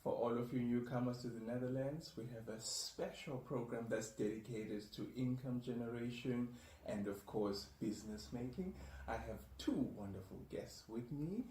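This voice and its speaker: A man's voice: medium pitch, normal speed, dark tone. Echoey male podcast host, slightly distant. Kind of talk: conversational podcast interviewing. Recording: echoey room, distant mic, faint noise.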